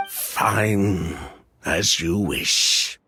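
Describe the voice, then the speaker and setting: A man's voice: low-pitched, sharp tone, slight background noise, full character voice. A man voicing a weary, proud ex-convict perfumer in a video game, dramatic and emphatic. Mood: resigned. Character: weary, proud middle-aged ex-convict perfumer recounting his past.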